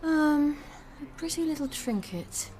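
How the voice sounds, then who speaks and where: A woman's voice: sits high, lively intonation, a little slow, a little mellow. An actress playing a resolute young woman warrior bent on payback in a fantasy video game.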